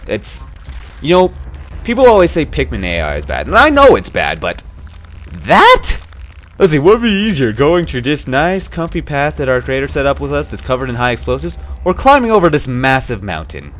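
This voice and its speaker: A man's voice: mid-range voice, huge pitch swings, moderate pace, fairly bright tone. A young man hosting a casual let's play, rambling and wryly complaining about the game, a bit stuffy with a slight cough. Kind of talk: casual gameplay commentary.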